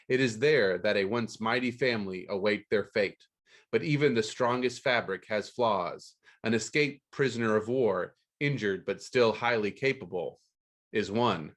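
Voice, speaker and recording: A man hosting a podcast about books. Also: on the low side. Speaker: podcast host. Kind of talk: scripted book news read aloud, then casual interview.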